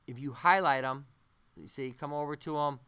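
Bright, clear-voiced man giving a tutorial. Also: a little high. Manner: halting, thinking aloud as he works. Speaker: animation tutorial instructor. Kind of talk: unscripted software demonstration. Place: voice-over on a screen-recording tutorial.

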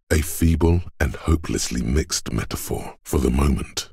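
A man voicing the cold, analytical inner voice of logic in a detective's mind for a video game, with measured, dry, condescending delivery and a very deep, gravelly, husky tone. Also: darkish tone, no room sound, exaggerated voice. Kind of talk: video game narration in second person.

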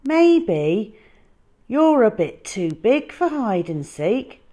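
Woman as an eager little boy, high-pitched. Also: sing-song, drawn-out words, warm tone, exaggerated voice. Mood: playful. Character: small eager boy, polite and playful. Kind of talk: children's picture-book reading.

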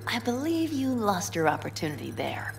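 A woman's voice: medium pitch, big pitch swings, normal speed, vocal fry, soft background music. An actress playing a headstrong, defiant young nomad woman in a video game, with an emphatic, sardonic delivery. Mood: determined, argumentative. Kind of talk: dramatic video game acting.

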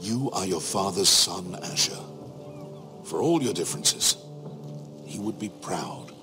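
Low, expressive, fatherly nobleman. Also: over music. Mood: solemn, affectionate. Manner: solemn, sincere, measured. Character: earnest, proud, fatherly middle-aged noble uncle. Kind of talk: dramatic fantasy game acting.

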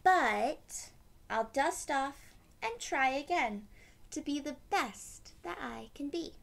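A young woman reading a picture book aloud to children, gently explaining it in simple words. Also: high voice, expressive, takes it slowly, creaky. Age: young adult. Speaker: read-aloud storyteller for children. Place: small room at home, indoors.

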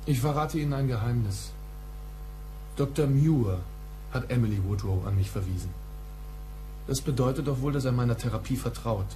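An actor playing a measured, formal male psychotherapist in a radio play, reproving and protective of his patient. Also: mid-range voice, mellow, soft background music, lightly acted, rough recording. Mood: defensive.

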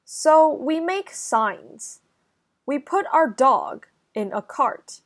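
Young woman, children's story reader, high pitch, extremely unhurried, deliberate enunciation. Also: expressive. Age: young adult. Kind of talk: slow, clear children's read-aloud.

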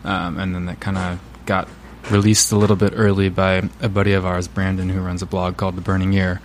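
A man from a band telling how the group formed, relaxed and full of fillers. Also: deep voice, brisk, slight background noise. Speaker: band member. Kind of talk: casual interview answers.

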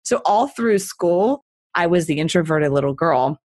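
A woman's voice: lowish voice, animated, measured pace, darkish tone, no room sound, studio quality. A woman in her forties from Texas with a neutral accent, telling her story in a casual interview and laughing often. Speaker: guest entrepreneur.

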